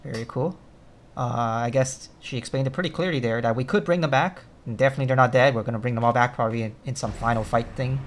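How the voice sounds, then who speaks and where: A man's voice: medium pitch, fast talker, slight background noise. A man commentating casually on a game video, speaking off the cuff.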